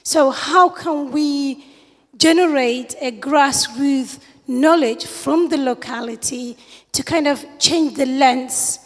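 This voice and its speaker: A woman from Somalia who grew up in the UK, asking an earnest question from the audience at a conference, pausing often to search for words. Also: high voice. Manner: earnest, searching, with fillers and pauses. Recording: long reverb of a lecture hall.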